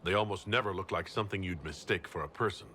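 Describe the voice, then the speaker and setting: A stern, pragmatic leader of a fantasy order. His voice is low and forceful, with firm, deliberate authority. It is video game dialogue over faint background noise. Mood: skeptical, firm.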